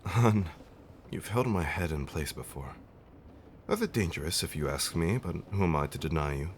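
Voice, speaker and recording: A man playing a centuries-old vampire husband in an intimate comfort roleplay, tender and theatrically fussy, with genteel, old-fashioned wording and dramatic pauses. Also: deep voice, animated, slight background noise. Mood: playful affection. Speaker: audio roleplay performer.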